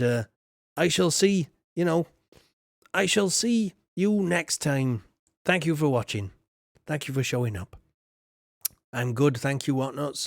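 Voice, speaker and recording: A man's voice: mid-range voice, no room sound, clean studio sound. A man streaming a farming game, talking to chat in a put-on rustic farmer voice, rambling and joking. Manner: puts on a rustic backwards-farmer voice; jokey and rambling, greets chatters by name. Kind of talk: chatty in-character streaming with chat.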